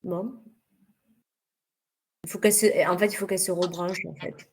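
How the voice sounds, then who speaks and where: A woman's voice: on the low side, fairly even intonation, normal speed. A woman from France talks on a video call during a webinar panel. She argues with insistence and repeats phrases for emphasis. There is a faint background noise behind her voice. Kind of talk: passionate webinar discussion.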